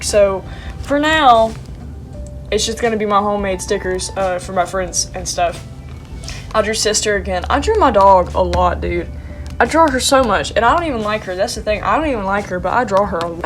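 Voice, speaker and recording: A young woman in her twenties, sounding congested and sniffly, chatting casually and playfully with lots of slang while showing her sketchbook. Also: medium pitch. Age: young adult. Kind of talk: casual vlog chat.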